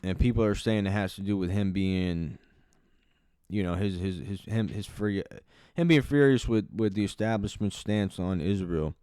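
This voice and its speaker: A man's voice: sits low, normal speed, dark tone, clear, resonant tone, dry recording, studio quality. A man hosting a reaction video, talking in loose, profane, rambling commentary with lots of restarts. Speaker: commentary channel host.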